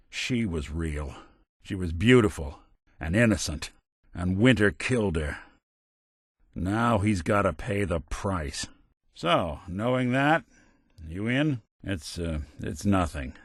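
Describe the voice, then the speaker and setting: Casting: weary American robot private eye for a game, lowish voice, lively swings in intonation, wry and principled. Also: male voice, dry recording, studio quality, full character voice. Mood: somber, resolute. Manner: wry, streetwise, old-time gumshoe delivery. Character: world-weary, wisecracking robot private detective, hardboiled and principled. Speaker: video game voice actor. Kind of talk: scripted game dialogue in a hardboiled noir detective manner.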